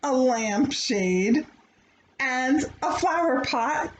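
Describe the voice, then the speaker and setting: Female children's read-aloud host, very lively intonation, unhurried, speaks directly to young listeners. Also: sits high, poor audio. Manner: expressive, playful, addressing children directly. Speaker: children's storybook reader. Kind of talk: animated picture-book read-aloud with asides to young listeners.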